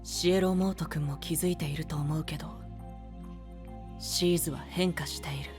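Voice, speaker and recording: Man acting a gentle young seer, music behind. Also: on the high side, steady tone, close to an everyday voice. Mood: calm, grave. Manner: soft, measured, soothing speech. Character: gentle, enigmatic young male stargazing seer. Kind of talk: Japanese anime voice drama acting.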